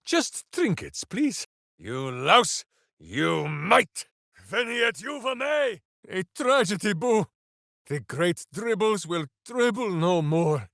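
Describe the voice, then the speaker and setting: An actor voicing a big, simple-minded, hearty berserker hero in a fantasy video game, declaiming with bombastic earnestness. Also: male voice, high voice, big pitch swings, slow, vocal fry, a bit bright, no room sound, studio quality, full character voice. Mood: battle fervor. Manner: bombastic, earnest, grandly declaiming, speaks of himself by name. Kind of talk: theatrical fantasy video game character acting.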